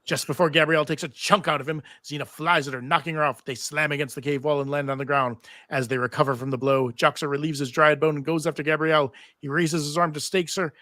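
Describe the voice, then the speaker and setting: A man's voice: on the high side, steady tone, fast, hardly any pauses, sharp tone. A man serving as narrator, reading stage directions in scripted narration at a live table read.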